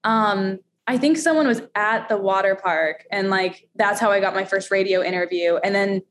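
A woman's voice: mid-range voice, moderate pace. A young adult woman from Florida, a radio host, chatting bubbly and laughing often in a casual interview. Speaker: radio host and steel drum player.

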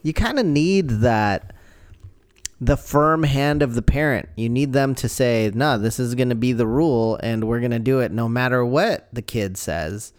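A man's voice: medium pitch, normal speed, clean tone, no echo, clean studio sound. A man chatting casually as a podcast host, reflective and giving advice. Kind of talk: casual conversation. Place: home.

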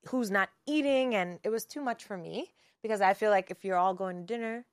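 A woman's voice: mid-range voice, fairly quick, no room sound, clean studio sound. A woman in her twenties hosting a podcast, explaining things frankly in casual chat. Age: 20s. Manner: frank, explains the game rules. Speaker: podcast host.

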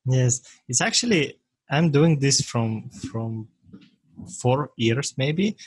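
A man from Bulgaria with a Bulgarian accent, talking as an interview guest in his second language, pausing often to search for words. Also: medium pitch, lively intonation, darkish tone, husky, poor audio. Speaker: guest, software maker. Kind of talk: casual interview talk.

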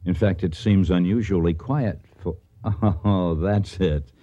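A man's voice: deep voice, unhurried, lo-fi sound. A man playing a kindly, trusting older archaeologist and father in a radio drama, articulate and reassuring. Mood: amused, reassuring. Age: middle-aged or older. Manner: reassuring, gently dismissive, articulate. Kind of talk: radio drama acting with first-person narration.

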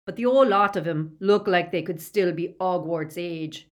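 A woman's voice: fairly low pitch, moderate pace, natural voice. A woman voicing a suspicious male thug in an audiobook, sneering and taunting as he questions captives. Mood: jeering.

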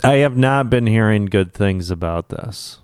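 A man's voice: fairly low pitch, animated, measured pace, a little mellow, clean tone, no room sound, studio quality. A man co-hosting a podcast in laid-back casual chat, with some swearing. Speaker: podcast co-host.

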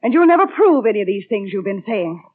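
A woman's voice: medium pitch, sing-song, quick pace, breathy edge. An actress playing a cold, defiant female schemer in an old radio drama. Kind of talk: 1950s radio drama acting. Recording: muffled, band-limited old radio recording.

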